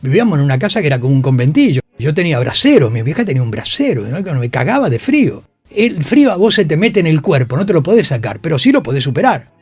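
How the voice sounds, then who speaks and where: Argentine guy on a radio interview, kinda high voice, really emphatic, repeats himself, dry sound w music behind. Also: very animated, quick pace, darkish tone, breathy edge, no room sound. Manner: emphatic, repeats phrases for stress, swears freely. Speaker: interview guest, actor. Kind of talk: animated storytelling in a radio interview. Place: radio studio.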